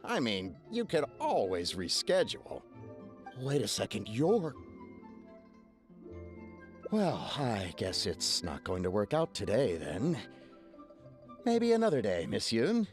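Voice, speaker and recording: An ingratiating businessman in a video game. He takes his time, with a lively, swinging pitch and a smooth-talking, status-conscious manner over faint music. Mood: let down. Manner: ingratiating, unhurried.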